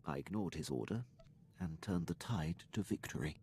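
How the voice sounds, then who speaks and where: An actor playing a formal, dutiful imperial starship captain in a video game, with stiff, deferential and precise military diction. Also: male voice, low voice, animated, normal speed, darkish tone, a little hiss or hum. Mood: earnest, restrained. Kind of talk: scripted video game dialogue.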